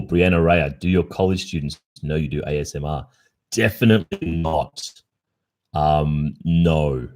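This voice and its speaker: Very deep-voiced Australian man. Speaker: ASMR roleplay streamer. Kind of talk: casual livestream chat answering listener questions.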